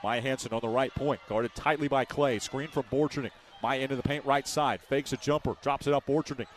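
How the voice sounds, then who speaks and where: Male sports radio play-by-play commentator, medium pitch, live basketball call, short descriptive phrasing. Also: fairly even intonation, fairly quick.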